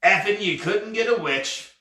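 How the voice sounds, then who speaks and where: A man voicing the sly, blustering ghost of a burly pirate captain in a storybook, with archaic pirate dialect and deliberate phrasing. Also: high voice, expressive, bright, forceful tone, pitched above the performer's own voice, heavily acted. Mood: exasperated. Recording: roomy, echoey, distant mic.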